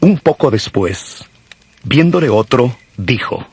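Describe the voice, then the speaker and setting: A man narrating scripture from a script in a solemn, measured manner. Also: mid-range voice, very animated, breathy edge. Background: music.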